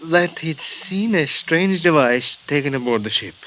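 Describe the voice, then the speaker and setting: An actor playing a grave, formal Mexican hospital doctor, speaking in a measured, halting way in a 1950s radio drama. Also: male voice, on the high side, lively intonation, a bit bright, poor audio. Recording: old radio broadcast with faint hiss.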